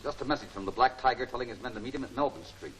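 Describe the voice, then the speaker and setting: Serial hero played by a man: mid-pitched, brisk, commanding his men, resolute, faint hiss of old film. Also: close to an everyday voice. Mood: urgent. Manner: brisk, authoritative. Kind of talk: 1940s film serial acting. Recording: noisy 1940 film serial soundtrack.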